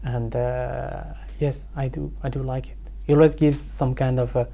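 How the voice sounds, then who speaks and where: A young man from France answering interview questions hesitantly, with many pauses and self-corrections. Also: mid-range voice, level tone, gravelly, warm tone. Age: young adult. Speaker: guest.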